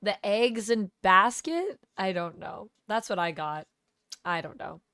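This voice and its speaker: A woman streamer under the weather with a cold, talking casually with a gravelly, smoky voice as she chats with viewers and thanks them by name. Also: medium pitch, lively intonation, measured pace, bright, forceful tone, dry recording, studio quality. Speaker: live streamer. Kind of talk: casual live-stream chat, reading viewer comments. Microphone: gaming headset.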